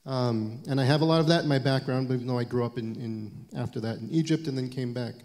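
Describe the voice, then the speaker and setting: A man in his forties, speaking on a panel in a halting, thoughtful way with frequent restarts, in an event hall. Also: mid-range voice, darkish tone. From United States. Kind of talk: panel talk. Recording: long reverb of a lecture hall.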